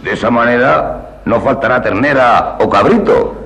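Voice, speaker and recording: Gravelly, theatrical hungry traveller acted by a man. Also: medium pitch, moderate pace, a bit bright, faint music behind, close to an everyday voice. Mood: wry, demanding. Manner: theatrical, old-fashioned diction. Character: hungry traveller grumbling at an inn, Spanish classic. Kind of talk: vintage radio drama acting. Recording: reverberant archive radio recording.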